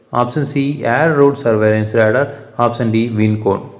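A male tutor reads exam quiz material aloud at a medium pitch. His delivery is methodical and repetitive, moving through questions, lettered options and answers in the same set pattern. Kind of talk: reading out multiple-choice quiz questions and answers.

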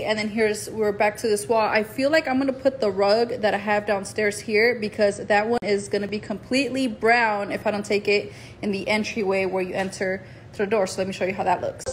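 A woman's voice: mid-range voice, slight background noise. Chatty woman giving a house tour. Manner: chatty, rambling with fillers. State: out of breath. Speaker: home vlogger. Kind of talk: casual home tour vlog. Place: two-story house indoors, then garage. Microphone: phone camera held in hand.

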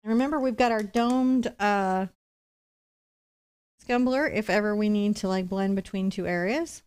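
A middle-aged woman leads a live painting lesson in a smooth, clear voice at a medium pitch. She talks conversationally, explaining each step as she goes and adding chatty, reassuring asides. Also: a little slow, a little mellow. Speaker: painting instructor, host.

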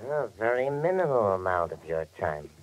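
A man's voice: on the low side, big pitch swings. Creepy, gleeful, sing-song henchman. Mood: fussy, eager. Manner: fussy, precise wording, savors his words slowly. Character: creepy, gleeful henchman, ex lab technician, fussy and sadistic. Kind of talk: 1950s radio detective drama acting. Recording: noisy, muffled 1950 radio recording.

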